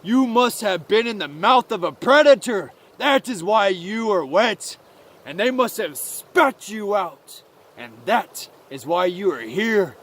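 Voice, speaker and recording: A man voicing a stern, dismissive leader of mice in a story, deliberate and scolding. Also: sits high, lively intonation, fairly bright tone, exaggerated voice. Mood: stern, rejecting. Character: stern, dismissive lead mouse shunning an outcast.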